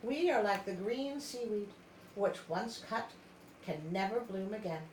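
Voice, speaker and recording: A woman's voice: medium pitch, animated, measured pace, a little mellow, close to an everyday voice. Lady reading as old mermaid granny, solemn and wise, storybook style, echoey room. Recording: roomy echo, background noise.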